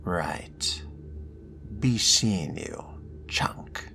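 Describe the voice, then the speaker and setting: A man's voice: sits low, animated, drawn-out words, creaky, whispered, exaggerated voice. A man voicing a refined, world-weary vampire fledgling in a game role-play, dry, formal and understated. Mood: dryly sardonic. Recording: loud music behind the voice.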